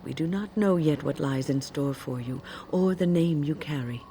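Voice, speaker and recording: A kindly older woman mage from a fantasy game, with a mellow, soft-edged voice. She speaks in a gentle, measured and consoling way, like a wise companion. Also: sits low, a little hiss or hum. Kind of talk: fantasy video game dialogue.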